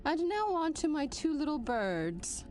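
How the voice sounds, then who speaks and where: A woman plays a female general in a sci-fi audio drama, stern and measured. Her voice sits fairly high and moves expressively as she gives formal orders with dry wit, over faint background noise. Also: big pitch swings, a little mellow. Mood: commanding, measured. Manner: formal, authoritative briefing delivery. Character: stern, commanding female military general, measured and dryly wry. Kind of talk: scripted audio drama acting.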